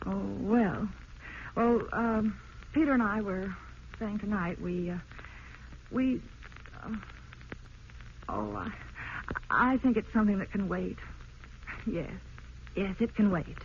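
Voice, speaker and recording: Weary young wife, muffled radio. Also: female voice, mid-range voice, animated, takes it slowly, long pauses. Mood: hesitant, kind. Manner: hesitant, emotive, proper. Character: weary, strained young wife and adoptive mother. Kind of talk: vintage radio drama acting. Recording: muffled old radio broadcast, faint noise.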